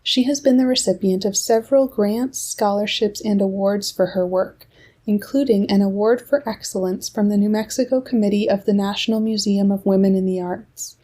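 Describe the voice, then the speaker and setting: A woman hosting a podcast, reading a guest's biography in a measured, steady way. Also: medium pitch. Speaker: podcast host. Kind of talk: scripted intro reading.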